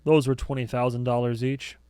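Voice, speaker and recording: A man's voice: medium pitch, little pitch movement, brisk, dark tone, clear, resonant tone, no room sound, studio quality, lightly acted. A man voicing a blunt, sarcastic young woman in an audiobook. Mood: wry. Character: wry, exasperated, outspoken young woman. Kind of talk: audiobook character acting.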